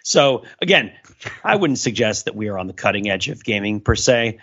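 A middle-aged man hosting a casual podcast, enthusiastic and wordy in a playfully formal way, with a somewhat hoarse voice. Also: lowish voice, sing-song, moderate pace, a bit bright. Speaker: podcast host. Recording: dull, lo-fi audio.

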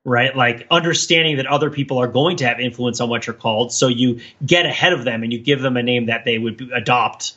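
A man's voice: medium pitch, a little fast, hardly any pauses, pushed and bright, lo-fi sound. A man co-hosting a podcast in casual analytical discussion, talking discursively and often checking in with "right?". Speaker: podcast co-host.

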